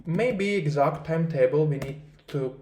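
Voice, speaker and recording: A man with a Russian accent, a native Russian speaker, teaching a screen-recorded tutorial in English. He talks haltingly, with many fillers and restarts. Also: on the high side, darkish tone, roomy sound. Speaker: marketing research instructor.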